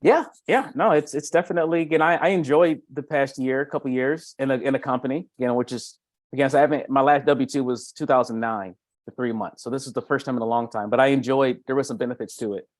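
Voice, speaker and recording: A man's voice: medium pitch, fast talker, no room sound, clean studio sound. A middle-aged man talking casually and off the cuff about his work, often doubling back and repeating phrases. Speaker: B2B marketing consultant. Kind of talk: casual, unscripted self-introduction in a meeting.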